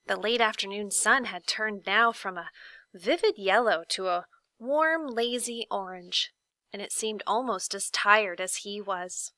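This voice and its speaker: Woman, children's storybook narrator, bright voice, unhurried pace, dramatic pauses, dry close sound. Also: medium pitch, no echo. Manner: deliberate, dramatic phrasing with pauses. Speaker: teacher reading aloud to a class.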